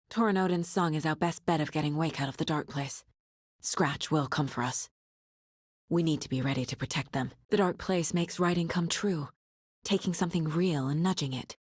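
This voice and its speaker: Video game fbi-type agent lady, lowish voice, dry close recording, measured and wry. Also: husky, no echo. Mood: serious, determined. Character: determined, wry female federal agent investigating supernatural crimes. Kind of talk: scripted game dialogue, investigative monologue.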